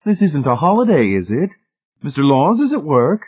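A man's voice: fairly high pitch, big pitch swings, moderate pace, breathy edge, phone-quality sound, pitched above the performer's own voice. A man voicing a prim, sanctimonious, fussy older woman in an audiobook, with genteel fluttery manners. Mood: gushing. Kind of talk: audiobook character voice.

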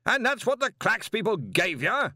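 A man's voice: high voice, very animated, moderate pace, pushed and bright, no echo, studio quality, voice pushed up, full character voice. A man doing Ridcully, a roaring, hot-tempered old wizard. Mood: furious. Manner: roaring, imperious. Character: blustering, hot-tempered older male wizard head of college.

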